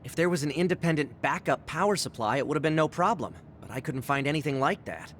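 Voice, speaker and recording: A man's voice: a little high, animated, fast, a bit bright, a little hiss or hum. A man playing an earnest, slightly timid, analytical young teammate in an anime game dub. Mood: thoughtful. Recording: music behind the voice.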